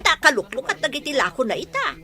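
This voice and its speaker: A woman acting a gossipy Ilocano villager in a comedy drama. Her voice is high and bright, with the chatty ease of a helpful friend. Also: sing-song, quick pace, heavily acted.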